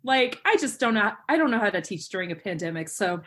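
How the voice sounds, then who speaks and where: Chatty woman telling animated stories. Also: medium pitch, quick pace. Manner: chatty, laughs often, acts out quotes. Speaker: podcast guest, teacher. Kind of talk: casual podcast chat.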